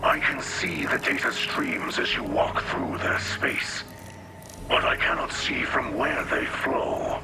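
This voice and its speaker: An actor playing an earnest alien mystic and mentor in a video game, speaking in formal, poetic, deliberate phrases. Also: male voice, lowish voice, creaky, full character voice. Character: earnest, spiritual alien Fallen splicer mystic, patient mentor. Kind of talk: video game cutscene dialogue. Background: music.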